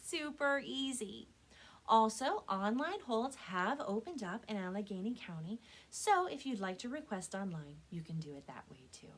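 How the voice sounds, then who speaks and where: A woman speaking as a children's librarian and storyteller, with an expressive, clearly enunciated read-aloud delivery. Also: fairly high pitch, normal speed. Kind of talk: children's storytelling and book recommendations.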